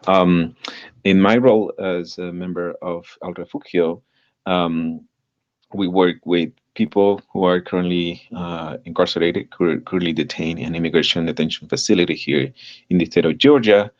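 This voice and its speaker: Very deep-voiced man from El Salvador. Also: fairly even intonation, darkish tone, muffled recording. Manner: halting, many fillers and repeated words. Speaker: guest, nonprofit director. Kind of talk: live podcast interview.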